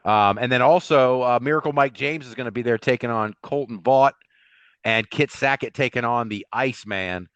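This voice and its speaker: A man hosts a casual sports podcast in a conversational style. His voice sits at a middling pitch, recorded dry and close but slightly dull and lo-fi. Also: normal speed, fairly bright tone, clean tone, no room sound. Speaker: podcast co-host.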